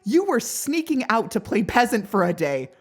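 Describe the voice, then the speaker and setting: A woman's voice: on the high side, sing-song, moderate pace, voice pushed up. A woman voicing a hot-tempered young woman fighter in a fantasy audiobook, panicked and furious, with a shaky voice that cracks. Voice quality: shaky, cracking when upset. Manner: frantic, dramatic outbursts. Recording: background music behind the voice.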